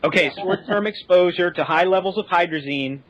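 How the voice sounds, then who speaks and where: A man's voice: high-pitched, fairly quick, fairly bright tone, dull audio. A man speaking as a podcast guest, explaining things informally. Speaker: podcast guest, space simulation specialist.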